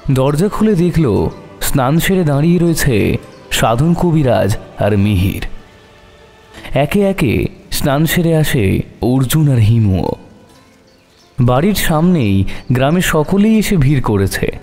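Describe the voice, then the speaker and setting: Bright-toned dark-tale male narrator, mellowed. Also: mid-range voice, sing-song, slow, long pauses. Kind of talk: dramatic scripted horror story narration. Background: music.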